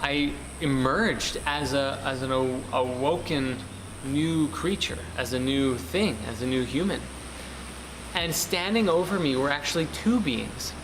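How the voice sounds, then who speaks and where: Man, very slow, earnest, halting, long room reverb, steady background hum. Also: mid-range voice. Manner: slow, earnest, searching for words. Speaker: ceremony participant. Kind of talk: personal testimony. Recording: roomy reverb with background noise.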